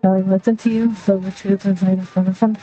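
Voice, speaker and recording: A woman with a mid-pitched voice comments casually as she streams a game. Music plays behind her. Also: level tone, quick pace, dark tone, lo-fi sound.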